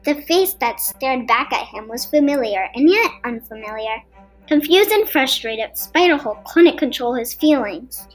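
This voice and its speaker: A woman narrates a children's story in a high-pitched voice. She reads from a script as a storyteller for young listeners. Soft music plays behind her. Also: expressive, measured pace, poor audio. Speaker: storybook reader for a podcast. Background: background music.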